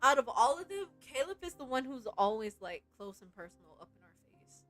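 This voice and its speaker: A woman game streamer chatting casually and reacting in an animated, giggly way. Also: high voice, fairly quick, faint music behind. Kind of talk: casual livestream chat with read-aloud game lines.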